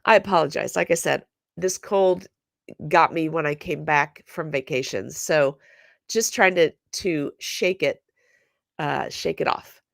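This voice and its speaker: A woman talks to her viewers in a slow, unhurried, chatty way, her voice stuffed up from a cold. Her tone sits a little low, with a gravelly fry in it. The sound is dry and close, with no room echo. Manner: chatty, apologetic, lots of fillers. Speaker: scrapbooking channel host. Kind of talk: casual chat to viewers.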